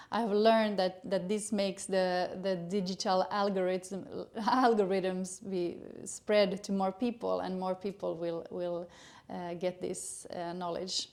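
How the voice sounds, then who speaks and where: A middle-aged woman from Sweden with a Swedish accent, a gynecologist introducing her educational channel in earnest, halting English with many fillers. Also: mid-range voice, a little mellow. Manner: earnest, hesitant with frequent uh fillers.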